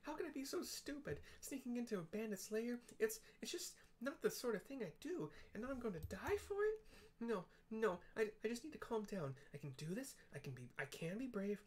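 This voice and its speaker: Man puts on a squeaky, jittery little engineer, nervous and overly polite. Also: sing-song, fairly quick. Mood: panicked. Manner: stammering, nervous, fussy politeness. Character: small timid male Lalafell engineer, flustered.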